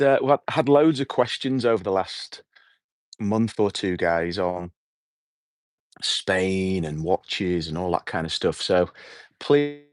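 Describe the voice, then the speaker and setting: A middle-aged man with a hoarse voice, hosting a relaxed, rambling evening livestream outdoors and chatting with his viewers. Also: low voice, no room sound, studio quality. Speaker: livestream host. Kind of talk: casual evening livestream chat. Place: outdoors at night.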